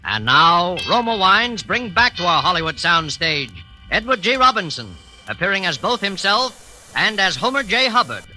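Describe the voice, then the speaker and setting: A man's voice: high-pitched, big pitch swings, moderate pace, pushed and bright, poor audio. A man announcing a 1940s radio thriller in a theatrical, portentous manner. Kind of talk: dramatic 1940s radio introduction. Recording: old radio broadcast over music.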